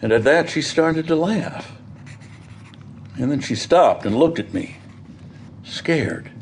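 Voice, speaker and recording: An actor playing an earnest, hesitant farmer giving witness in an audio drama, with an American accent and halting, folksy storytelling. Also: male voice, on the high side, animated, a little hiss or hum. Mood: hesitant, uneasy. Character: plain-spoken farmer witness, earnest and hesitant, recounting a grim discovery. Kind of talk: audio theatre acting.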